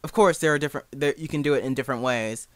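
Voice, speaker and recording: A man's voice: medium pitch, rapid-fire, fairly bright tone, dry recording. A young man giving a casual, informal tutorial as an amateur, talking in a self-deprecating way. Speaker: amateur fan dub creator giving a tutorial.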